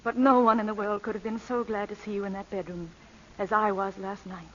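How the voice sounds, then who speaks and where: A woman's voice: medium pitch, flat delivery, a little fast, a bit bright, a little hiss or hum, close to an everyday voice. An actress playing a poised, candid and proud lady thief, speaking in an articulate, theatrical old radio style. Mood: tender. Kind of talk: 1940s radio acting. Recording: dull vintage radio broadcast recording.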